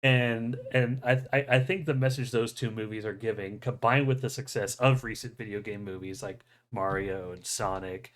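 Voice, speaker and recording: A man's voice: on the low side, steady tone, moderate pace, hardly any pauses, a bit bright. A man hosting a podcast, chatting casually and enthusiastically as a fan, with plenty of swearing. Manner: profane, enthusiastic fan talk. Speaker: podcast host. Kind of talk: casual chat about movie news.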